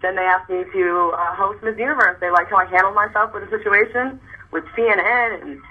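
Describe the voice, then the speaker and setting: A young woman with a raspy voice, tired from little sleep, chatting as an interview guest and rambling with many restarts. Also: medium pitch, fairly quick, phone-quality sound. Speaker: interview guest, model and pageant host. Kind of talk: casual chat.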